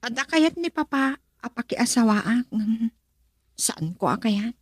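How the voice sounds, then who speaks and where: A female actor with a Filipino accent and a breathy voice, playing a guarded, dutiful young woman in a romantic radio drama. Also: a little high, expressive, a little slow, no room sound. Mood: coy, hesitant.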